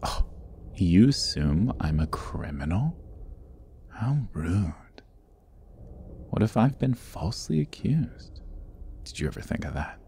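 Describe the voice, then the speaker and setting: Casting: male actor as sardonic dungeon rogue for fantasy audio roleplay; extremely low voice, unhurried, wry and teasing. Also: very animated, gravelly, dark tone, slight background noise, full character voice. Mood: sly, wry. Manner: wry, teasing, often dropping toward a murmur. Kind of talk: ASMR fantasy roleplay acting.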